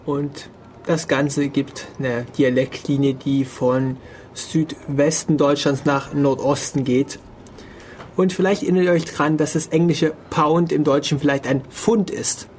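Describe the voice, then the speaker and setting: A man's voice: a little high, fairly even intonation, unhurried, a little mellow, a little hiss or hum. A man co-hosting a casual podcast who explains things in a lecturing tone. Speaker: podcast co-host.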